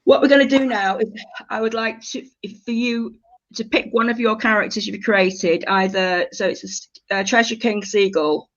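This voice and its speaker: A woman's voice: medium pitch, steady tone, moderate pace. A woman leading a creative writing workshop, giving polite, slightly hesitant instructions to her group in a conversational way. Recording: dull lo-fi audio.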